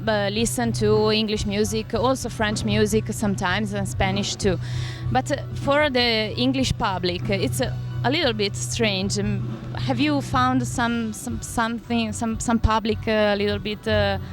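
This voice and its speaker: A woman's voice: on the high side, steady tone, measured pace, hardly any pauses. A woman from Italy, an interviewer asking questions hesitantly with many fillers. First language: Italian. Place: festival backstage. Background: loud music.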